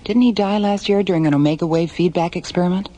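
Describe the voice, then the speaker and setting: An actress playing a composed, determined space station leader in a science fiction radio drama. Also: female voice, fairly low pitch, fast talker, dark tone, natural voice. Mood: serious, concerned.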